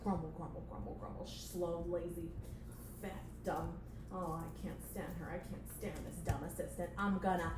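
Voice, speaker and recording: A woman's voice: lowish voice, fairly even intonation, recorded from a distance, over music, pitched below the performer's own voice, natural voice. A woman voicing a grumpy, harsh male boss in a puppet show, grumbling and bossy. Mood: seething. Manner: muttering grumbles, slow drawn-out bellowed demands. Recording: roomy echo, background noise.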